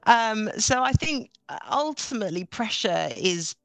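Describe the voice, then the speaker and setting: A middle-aged woman from Norway, a chief executive, talking in an upbeat, energetic way with frequent restarts as an interview guest. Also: fairly high pitch, a little slow, no room sound. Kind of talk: casual interview talk.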